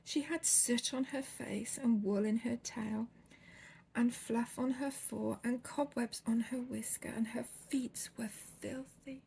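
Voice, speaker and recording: A woman's voice: a little high, fairly even intonation, slow pace, vocal fry, dark tone, a little hiss or hum, rough recording. A woman reading a children's bedtime story expressively, drawing out key words with theatrical emphasis.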